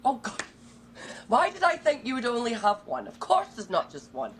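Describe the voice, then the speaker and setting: A woman's voice: on the high side, wildly swooping pitch, moderate pace, a loud crowd. Bubbly woman podcast host. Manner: bubbly, enthusiastic, swears casually. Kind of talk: casual podcast chat.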